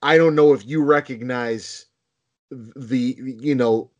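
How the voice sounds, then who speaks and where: Man with a Boston accent, rambling, animated, swears casually, mid-pitched voice. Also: takes it slowly, muffled recording. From Boston, United States. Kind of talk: casual chat.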